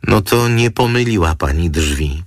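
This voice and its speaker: Male reader as female lawyer, calm, measured, formally polite, raised pitch, slightly rough edge, audiobook character. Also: dry recording, clean studio sound. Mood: polite, composed. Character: composed, polite, dryly witty woman lawyer.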